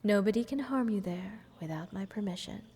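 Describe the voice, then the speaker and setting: A woman's voice: mid-range voice, fairly quick, warm tone, slightly rough. A woman playing a playful, selfish goddess in a fantasy audio roleplay, talking down to a little mortal in a teasing, condescending way with whispered phrases. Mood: scheming, amused. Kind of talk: scripted fantasy audio roleplay addressed to the listener. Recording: long hall-like reverb.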